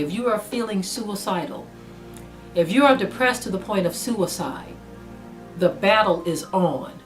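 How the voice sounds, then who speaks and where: A woman preaching a sermon to an online audience, earnest and exhorting, slowing down to stress her points. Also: mid-range voice. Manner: earnest, exhorting, slow and emphatic with rising intensity. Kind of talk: spoken sermon to a video audience.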